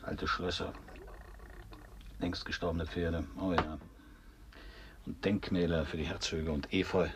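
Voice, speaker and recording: Tiefen, spöttischen Seefahrer. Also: male voice, sits low, flat delivery, unhurried, pauses often, noisy background. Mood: wry, musing. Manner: sardonic, declamatory, teasing. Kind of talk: German radio play acting, literary dialogue.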